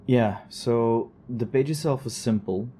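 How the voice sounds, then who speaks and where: A man's voice: medium pitch, measured pace, mellow, slightly rough. An actor playing a weary, wry male investigator who records a conversational log in an audio drama, with a dry, sardonic, rambling manner. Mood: musing, dry.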